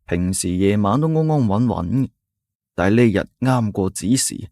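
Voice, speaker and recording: Man, Cantonese accent, storyteller, low voice, lively dramatic narration, dry close sound. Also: dry recording. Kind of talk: scripted first-person story narration.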